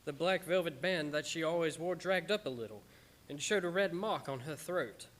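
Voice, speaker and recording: A man plays an earnest, devoted doctor in a radio horror drama. His voice is a little high for a man. He delivers his account with solemn, dramatic weight. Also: normal speed, a bit bright, natural voice. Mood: solemn, haunted. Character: earnest, devoted, anguished male doctor narrating his journal.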